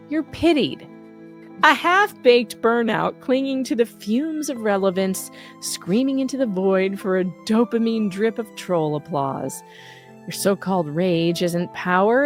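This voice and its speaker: A woman reading out a scripted satirical roast as an online host, with a sarcastic, punchy, emphatic delivery. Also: a little high.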